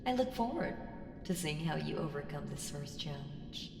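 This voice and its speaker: A woman voicing an ancient, haughty evil entity in a slow ASMR audio roleplay, deliberate and teasing, slipping into breathy whispers. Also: sits low, animated, music in the background. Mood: teasing anticipation. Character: ancient evil female entity, powerful, haughty, coolly menacing patron. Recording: echoing long hall reverb, ambient hiss.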